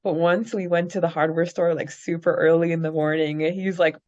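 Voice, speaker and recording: Lowish voiced lady podcast host, giggly casual recap chat, kinda muffled lofi audio. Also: fairly even intonation, fairly quick, darkish tone. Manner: giggly, chatty. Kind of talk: casual book-club style recap chat.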